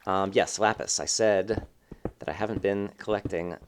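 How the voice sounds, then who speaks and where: A man with a somewhat low voice talks through his gameplay in a loose, rambling way, filling pauses with ums and likes. His voice sounds a little congested, as if he has a slight cold. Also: a little hiss or hum. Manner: rambling, thinking aloud with many fillers. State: slightly stuffed up. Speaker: let's play host. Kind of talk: casual gameplay commentary.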